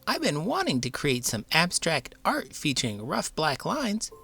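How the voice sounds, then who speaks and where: Excitable high-pitched boy. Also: measured pace, gravelly, husky, heavily acted. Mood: amazed, excited. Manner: squeaky, exclaiming. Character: excitable, artistic young boy. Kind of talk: children's storybook read-aloud.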